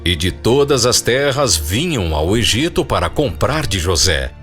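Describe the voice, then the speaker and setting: A man's voice: on the low side, very animated, measured pace, a bit bright, soft background music. A man narrating a dramatized audio Bible in a scripted storytelling style.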